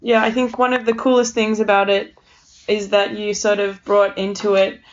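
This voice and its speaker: A woman's voice: mid-range voice, little pitch movement, normal speed, slight background noise. Casual woman interviewer, slightly muffled. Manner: agreeable, informal, uses frequent backchannels and "like". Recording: slightly muffled, dull sound.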